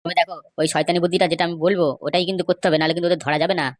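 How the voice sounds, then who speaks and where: A woman's voice: on the low side, fairly even intonation, very fast, natural voice. A woman playing an aggrieved, scheming male villager in a comedy cartoon, complaining in an emphatic, gossipy way. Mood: scheming, resentful. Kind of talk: amateur comedy cartoon dialogue.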